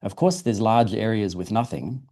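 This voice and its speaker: A man's voice: lowish voice, moderate pace, dark tone. A man presenting for a museum, reading a children's science book aloud in a simple, explanatory storytelling way. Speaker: guest presenter from a dinosaur museum.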